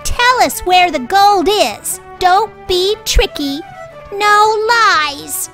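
A woman's voice: extremely high pitch, animated, drawn-out words, pushed and bright, faint music behind, pitched above the performer's own voice, heavily acted. A woman voicing an eager, bossy little girl in a storybook, urgent and insistent. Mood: demanding.

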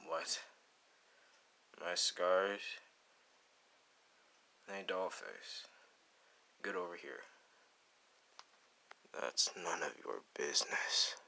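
A gravelly young male anime villain, acted by a man for an ASMR roleplay. He drawls slowly with a sharp, forceful edge. His manner is cool, deadpan and teasing, with menace underneath. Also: sits low, full character voice. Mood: cold, threatening. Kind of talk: ASMR roleplay addressed to the listener.

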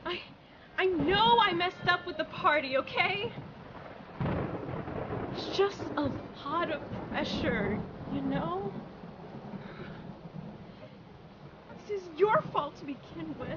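A woman's voice: sits high, dull audio, heavy background noise. A woman voicing an insecure, jealous, hot-tempered nymph in an emotional webcomic dub. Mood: defensive. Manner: emotional, swinging from brooding to outbursts. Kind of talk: dramatic webcomic dub acting.

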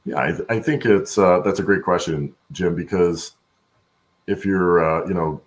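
Very deep, gravelly-voiced man. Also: moderate pace. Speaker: podcast guest, supply chain executive. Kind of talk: conversational interview answers. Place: indoor room at home, on a video call. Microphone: video call.

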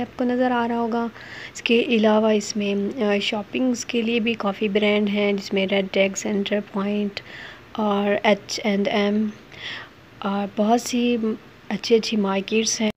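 A woman narrating a casual family vlog as a mother, conversational and hesitant with drawn-out pauses. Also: mid-range voice. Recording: noisy ambient background behind voice.

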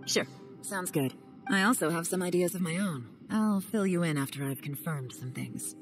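A woman's voice: on the low side, moderate pace, music in the background, natural voice. A woman voicing a composed secret agent in a game, dry and confident. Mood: cool. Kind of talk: game cutscene voice acting.

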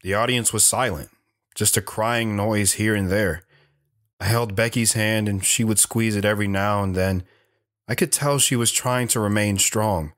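A man's voice: fairly low pitch, steady tone, moderate pace, fairly bright tone, no room sound, studio quality, lightly acted. A man playing an earnest, wistful retired soldier who tells his life story with restraint in an audio drama. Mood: somber, reflective.

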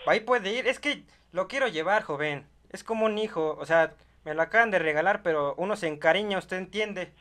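A man's voice: sits high, fairly quick, sharp tone. A young man playing an insistent, grumbling older rancher in a prank call, pleading in a hesitant, folksy, repetitive way. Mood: wistful pleading. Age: young adult. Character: insistent rural rancher, older man, stubborn, grumbling, attached to his donkey. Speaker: prank caller. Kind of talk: improvised prank phone call. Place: small bedroom studio, indoors.

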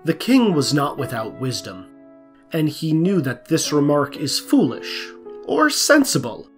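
A man's voice: fairly high pitch, huge pitch swings, measured pace. Man, narrator, scripted fairy tale storytelling, faint music behind.

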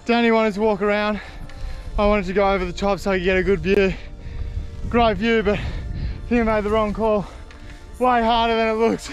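Excitable young Aussie fisherman outdoors. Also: high voice, moderate pace, pauses often, dull audio, soft background music. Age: young adult. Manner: excitable, shouts when fish bite. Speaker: fishing vlogger. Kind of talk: casual outdoor fishing vlog. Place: outdoors on rocky island shore by the sea. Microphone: action camera.

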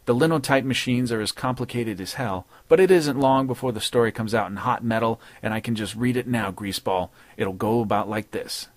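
A man's voice: mid-range voice, a little fast, hardly any pauses, dry recording, close to an everyday voice. A man voicing a cocky, wisecracking male newspaper columnist with a taunting, sardonic delivery.

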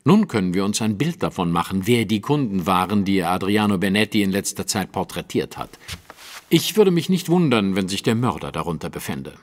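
An incisive, superior gentleman detective in an audio drama. The low voice moves in lively swoops, lecturing with pointed precision. A long, hall-like reverb trails the words. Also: brisk.

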